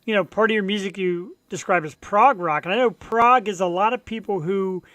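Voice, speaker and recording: Fast, high-voiced enthusiastic male host. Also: fairly bright tone, dry recording. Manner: eager, enthusiastic, fan-like. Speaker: show host. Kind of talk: casual interview chat.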